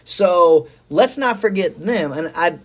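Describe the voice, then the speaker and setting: A hoarse middle-aged man, a pastor co-hosting a podcast, talking in a rambling, folksy and enthusiastic way about faith and church news. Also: sits high, animated, takes it slowly. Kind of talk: casual faith talk.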